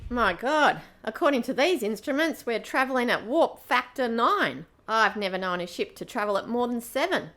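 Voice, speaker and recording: A woman plays an excitable female crew member in a space radio play. Her voice is fairly high. She talks in a brash, direct way. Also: moderate pace, few pauses, background noise. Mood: alarmed. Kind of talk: amateur radio play acting.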